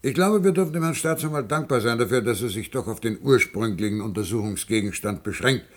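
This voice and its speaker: A man's voice: medium pitch, lively intonation, fairly quick, close to an everyday voice. An actor playing a cautious, diplomatic chairman of a hearing in a German radio play, formal, roundabout and tentative.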